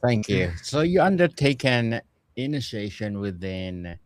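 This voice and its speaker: Male podcast host, lower voice, formal and deliberate, builds questions in short listed phrases, remote call. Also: very animated, no room sound. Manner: formal, measured, lists things in short phrases. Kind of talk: interview questions.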